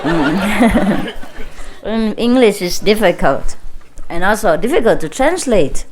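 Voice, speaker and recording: A woman's voice: medium pitch, animated, takes it slowly, darkish tone, poor audio. A woman with a Vietnamese accent speaking informally to a gathering as a spiritual teacher, playful and teasing, often laughing as she talks. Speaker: spiritual teacher addressing followers. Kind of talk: informal talk with joking banter to an audience. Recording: clear background noise, live gathering.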